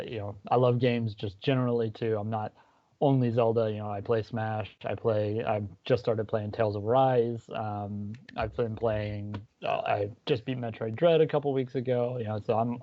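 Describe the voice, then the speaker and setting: A man's voice: lowish voice, no echo, highs cut off. A man introducing himself as a podcast guest, talking casually with lots of ums and uhs.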